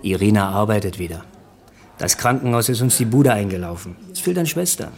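A man's voice: fairly low pitch, expressive, a little fast, darkish tone. An actor playing an easygoing, chatty family man in a radio play, talking in a colloquial, conversational way. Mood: friendly, resigned. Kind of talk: German radio play acting.

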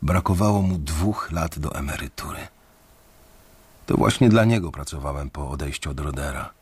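An actor narrating in first person as an anxious, sardonic bank employee facing layoff, in a measured, dry radio drama delivery. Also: male voice, a bass voice, breathy edge, heavily acted. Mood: somber. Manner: measured, dry, wry storytelling.

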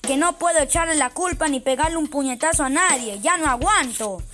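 An actress playing a frustrated, bored youngster in a radio drama, complaining emphatically. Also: female voice, high voice, fairly quick, a bit bright, a little hiss or hum. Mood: frustrated, weary.

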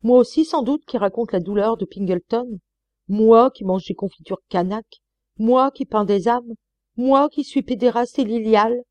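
A woman's voice: medium pitch, animated, measured pace, mellow, no echo, voice pushed up. A woman playing a peevish, pleading snob of a husband. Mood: sarcastic. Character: peevish snobbish middle-aged husband. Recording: slightly muffled dry recording.

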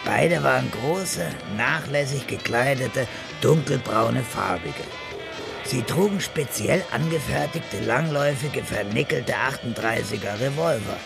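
A man's voice: mid-range voice, lively intonation, measured pace, gravelly, over music. An actor narrating a hard-boiled German radio crime drama as its male storyteller, in a scripted style. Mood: measured storytelling.

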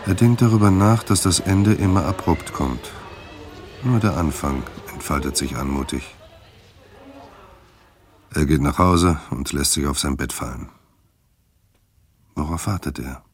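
A man's voice: a bass voice, unhurried, long pauses, over music. A man narrating a scripted German audio drama in a terse, sober storytelling manner.

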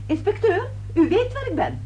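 A woman's voice: extremely high pitch, wildly swooping pitch, moderate pace, dark tone, exaggerated voice. An actress playing a flighty, pushy lady in a radio play, with theatrical delivery, on a dull, noisy old recording. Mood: coy, insistent.

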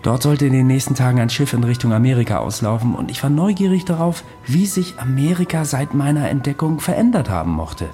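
A man's voice: medium pitch, animated, a little fast, hardly any pauses, mellow, faint music behind, close to an everyday voice. An actor in a German radio drama playing an ageless, worldly-wise man who recounts his past in a dry, matter-of-fact way. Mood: dry, weary recollection.

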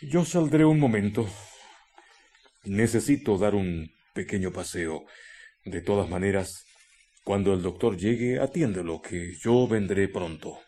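A man playing a brooding, menacing husband who hides deadly plans, in a radio drama with slow, muttered delivery. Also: on the low side, mellow. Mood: evasive. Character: brooding, secretive, menacing husband plotting a killing.

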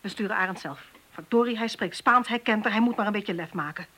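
An actress in a Dutch radio drama playing a brisk, impatient female police detective with a sarcastic manner. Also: mid-range voice, pushed and bright. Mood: exasperated.

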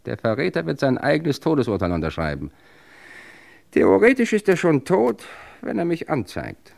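An actor playing a smug gang boss in an old German radio crime drama, speaking deliberately with suave, condescending menace. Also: male voice, on the high side, huge pitch swings, creaky, a little mellow, heavily acted. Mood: cool menace. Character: menacing, smug gang boss, coolly threatening his accomplice.

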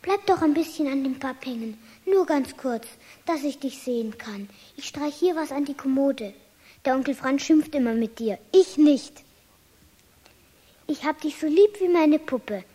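Casting: small girl, high voice, affectionate, eager and headstrong, children's radio play. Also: animated, a little slow, warm tone. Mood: hushed, coaxing. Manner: pleading, childlike.

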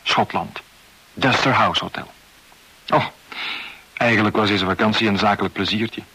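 A frank businessman telling his own story in a radio drama. The voice is fairly low and a bit raspy. He is wry and confiding. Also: steady tone, normal speed, sharp tone, low-quality recording. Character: frank New York art dealer's son recounting his holiday. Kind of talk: first-person radio play narration.

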